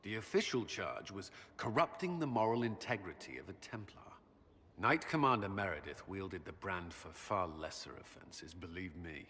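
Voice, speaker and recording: Grave, bright-edged low-voiced male commander. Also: animated. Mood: somber. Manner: earnest, measured, formal. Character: grave, duty-bound male military commander, weary former knight. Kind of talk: dramatic video game cutscene acting. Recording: hall reverb with background noise.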